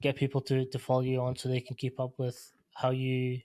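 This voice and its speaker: Male podcast host, middle pitch, flat delivery, dry close sound, casual and chatty. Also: fairly quick, smooth, no echo. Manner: relaxed, leans on "like" and "sort of".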